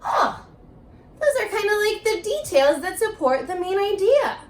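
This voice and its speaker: A woman's voice: very high-pitched, big pitch swings, unhurried. A woman elementary teacher giving a children's reading lesson, encouraging and asking questions as she speaks directly to young pupils. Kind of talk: children's remote reading lesson, alternating slow read-aloud and chatty prompts.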